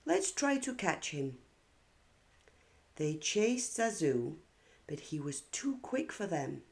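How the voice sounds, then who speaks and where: A woman reads stories aloud for children. Her voice sits low and moves at a leisurely pace, with lively rises and falls as she narrates. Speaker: host of a children's read-aloud channel.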